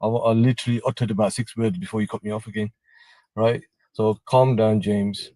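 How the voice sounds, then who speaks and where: A man calling into a live online debate, talking in halting restarts and flaring up when he is cut off. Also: lowish voice, steady tone, mellow, no echo, low-quality recording. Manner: halting, stammering restarts, flares up when interrupted. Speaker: debate caller.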